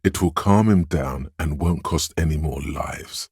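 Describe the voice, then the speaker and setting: An extremely deep, husky inner voice from a detective game. It is sly and coaxing, placing each phrase with theatrical deliberation. The sound is gravelly and very dry, close and free of room. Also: male voice, a little slow, a little mellow, no echo, heavily acted. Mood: cautioning, calculating. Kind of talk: scripted game voice-over narration.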